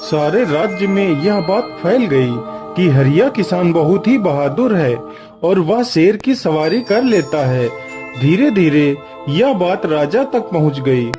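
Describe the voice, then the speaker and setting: Male narrator, scripted children's story, slightly raised pitch, slow delivery, background music. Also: lively intonation. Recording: music bed behind the voice.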